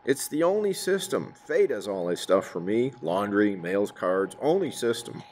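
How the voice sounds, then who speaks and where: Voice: low-pitched, sing-song, normal speed, darkish tone, a little hiss or hum. An actor playing a folksy, earnest married police detective chatting with a partner in a radio drama. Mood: folksy, chatty. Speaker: supporting actor. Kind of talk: old-time radio police drama dialogue.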